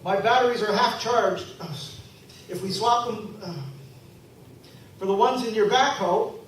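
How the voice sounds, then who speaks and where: A man's voice: high-pitched, measured pace. An actor playing a practical young townsman in a live radio play, earnest and a bit hesitant. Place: convention hall. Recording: very echoey hall, from far off, noisy.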